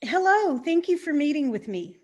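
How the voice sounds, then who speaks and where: Nervous female investigator played by an actress, very high voice, polite and hesitant, comedic mystery play. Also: big pitch swings, moderate pace, darkish tone, soft background music. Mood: awkward, nervous. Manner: hesitant, apologetic.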